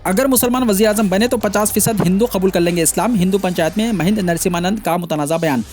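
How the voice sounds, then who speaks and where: A man's voice: sits high, fast, over loud music. A man reading scripted news in a formal manner, delivering headlines and stories as a news reader.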